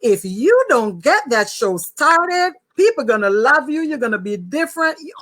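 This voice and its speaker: A woman's voice: sits high, sing-song, normal speed, fairly bright tone. A woman with a Jamaican accent, speaking as the host of an online talk show.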